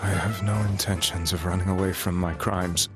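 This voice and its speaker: An actor playing a remorseful, weary man in a video game, speaking in a subdued, halting, earnest way. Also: low voice, flat delivery, over loud music, lightly acted. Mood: remorseful, resigned. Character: remorseful, weary male ex-political hopeful confessing his crimes. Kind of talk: English game voice acting.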